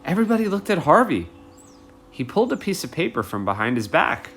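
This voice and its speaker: Man reading a picture book, animated delivery. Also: on the high side, fairly quick, a little hiss or hum. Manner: animated, addresses young listeners. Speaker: read-aloud storyteller.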